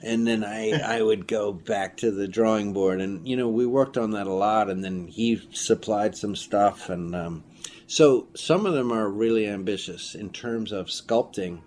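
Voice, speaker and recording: A man's voice: fairly low pitch, unhurried, a little mellow. A man being interviewed as an audio drama creator, telling anecdotes in a casual, rambling way and acting out quotes. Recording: noticeable room background noise.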